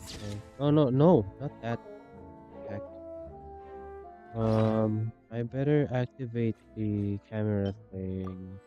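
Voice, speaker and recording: Low, mellow male game streamer. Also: slow pace, long pauses, highs cut off. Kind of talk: casual live game-stream commentary. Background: game music.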